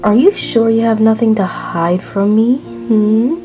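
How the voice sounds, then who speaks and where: A woman voicing a gentle, worried mother who keeps asking questions in a soft, coaxing way, in a toy-figure drama. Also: fairly high pitch, a little slow, faint music behind, close to an everyday voice. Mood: concerned.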